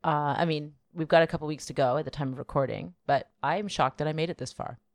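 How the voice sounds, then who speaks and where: A woman's voice: low voice, quick pace, dry recording, clean studio sound. A woman in her forties co-hosting a podcast in casual, hesitant chat. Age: 40s. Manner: hesitant, many fillers and restarts, laughs easily. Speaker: podcast co-host.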